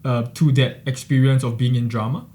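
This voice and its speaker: A young man from Singapore hosting a podcast interview, friendly and quick with many fillers. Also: medium pitch, steady tone, darkish tone. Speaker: podcast host. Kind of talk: casual interview conversation.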